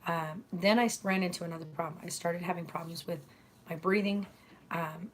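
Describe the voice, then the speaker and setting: Hoarse woman chatting on a live stream. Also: fairly low pitch, steady tone, a little hiss or hum. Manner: halting, many fillers, clears throat and coughs. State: hoarse after illness. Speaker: channel host streaming live. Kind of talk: casual live-stream chat greeting viewers.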